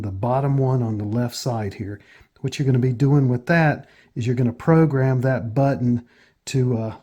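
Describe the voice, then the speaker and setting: An American man walks through instructions as a tutorial host. His voice is soft-edged and husky, with a dark, relaxed tone. He speaks casually and matter-of-factly, pausing as he goes from step to step. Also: mid-range voice.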